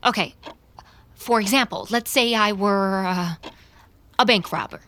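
A woman's voice: mid-range voice, unhurried, a bit bright, natural voice. A woman playing a defensive, self-justifying character confessing in an audio drama. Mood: defensive, intense. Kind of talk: audio fiction acting.

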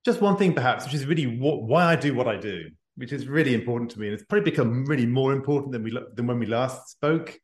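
A man's voice: medium pitch, quick pace, hardly any pauses. Earnest man, roomy sound. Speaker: guest author. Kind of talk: podcast interview.

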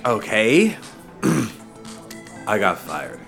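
A man's voice: lowish voice, huge pitch swings, extremely slow, vocal fry, music in the background, full character voice. A man playing a meek, bewildered everyman in an audio comedy drama, polite, hesitant and pleading. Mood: sheepish.

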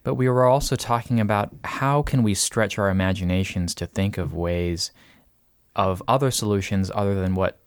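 A man hosting a casual podcast, reading out a listener's letter and talking thoughtfully with many pauses and fillers. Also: lowish voice, clear, resonant tone, dry recording, studio quality. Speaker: podcast host.

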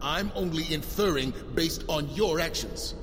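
An actor playing a stubborn, indignant American government agent in an audio drama. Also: male voice, on the high side, a little slow, fairly bright tone, long reverb tail, soft background music. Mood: skeptical.

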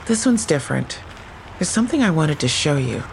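An actor playing a young police officer who reports the facts of a case crisply and precisely. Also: medium pitch, sing-song, brisk, a little hiss or hum, poor audio. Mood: matter-of-fact. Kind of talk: audio drama acting.

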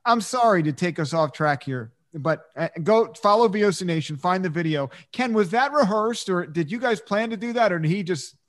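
A man's voice: sits high, expressive, moderate pace, hardly any pauses. A man co-hosting a casual talk show, making joking asides and plugs. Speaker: co-host. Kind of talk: casual podcast chat.

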